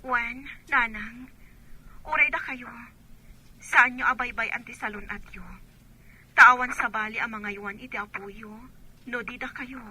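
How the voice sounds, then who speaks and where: A woman's voice: on the high side, steady tone, unhurried, long pauses, sharp tone, husky, heavily acted, rough recording. A woman playing a worried, caring daughter working abroad in an Ilocano radio drama. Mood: worried, affectionate. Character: worried grown daughter working abroad, phoning her mother.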